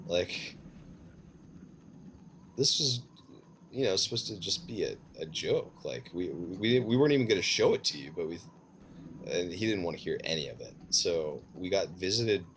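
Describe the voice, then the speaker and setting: A man's voice: sits low, a bit bright. A man telling a casual personal story in a hesitant, rambling way, as a streamer chatting. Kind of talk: casual rambling anecdote told in conversation. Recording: clearly noisy background.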